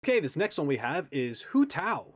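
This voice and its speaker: A man's slightly high voice chats casually in reaction commentary. The sound is dry and close, and his manner is wry and joking. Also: wildly swooping pitch, normal speed, gravelly, no echo. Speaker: reaction video host.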